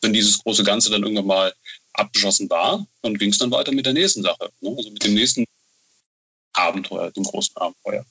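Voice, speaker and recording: A man's voice: on the low side, a little fast, sharp tone, breathy edge, low-quality recording. A man in his thirties chatting casually as an interview guest, often tagging his phrases with a questioning filler. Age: 30s. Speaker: horror novel author, interview guest.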